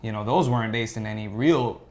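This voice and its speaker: A man's voice: fairly low pitch, brisk, a little mellow. A man on a film podcast panel, casually giving his opinions in a loose conversation.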